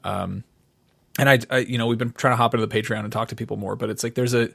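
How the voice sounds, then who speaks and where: A man's voice: medium pitch, fairly even intonation, fast, creaky, a bit bright, breathy edge. A middle-aged man co-hosting a casual podcast, circling his point and repeating phrases as he thinks aloud. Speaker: podcast co-host. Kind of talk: casual conversational podcast chat.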